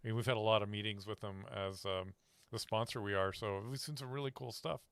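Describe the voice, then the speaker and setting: A man's voice: lowish voice, fairly even intonation, fairly quick, a bit bright, smooth, no echo. A man hosting a casual interview as a company representative, speaking in an informal, loosely phrased way. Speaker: interviewer host from a sponsoring company.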